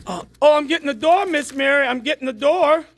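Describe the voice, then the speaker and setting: A woman's voice: high-pitched, animated, fairly quick, slight background noise. A woman reading the part of a dutiful but cheeky housemaid in a stage play, polite and matter-of-fact. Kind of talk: stage play table read.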